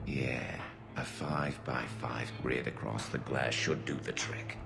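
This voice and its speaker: A man's voice: rumbling low, fairly even intonation, measured pace, creaky, over music, exaggerated voice. A man playing a wry, battle-hardened alien soldier in a video game, musing dryly like a tactician. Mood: dry, scheming. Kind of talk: video game character acting.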